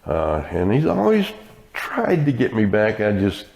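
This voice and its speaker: An older man with a deep voice, a guest telling stories in a casual interview and laughing often. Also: wildly swooping pitch, measured pace, vocal fry, a little mellow. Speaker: interview guest, village staff and volunteer.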